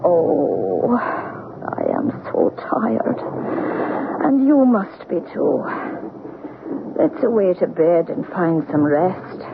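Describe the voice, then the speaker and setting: An actress playing a weary, solemn countrywoman telling grim secrets in an old-time radio horror play, with grave, deliberate delivery. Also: lowish voice, sing-song, vocal fry, exaggerated voice. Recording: noisy, muffled vintage radio recording.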